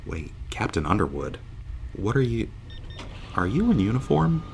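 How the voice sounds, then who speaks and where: Low-voiced groggy male starship officer, acted by a man. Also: big pitch swings, moderate pace, vocal fry, a little mellow, a little hiss or hum. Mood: confused, blunt. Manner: blunt, sardonic, groggy. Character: dazed, wisecracking male starship officer waking from long stasis. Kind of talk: audio drama acting.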